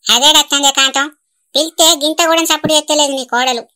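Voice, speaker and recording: A woman's voice: high-pitched, fairly even intonation, quick pace, pushed and bright. A woman voicing a stubborn, grumbling old grandmother in a cartoon, with a Telangana Telugu accent. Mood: complaining. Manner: grumbling, complaining.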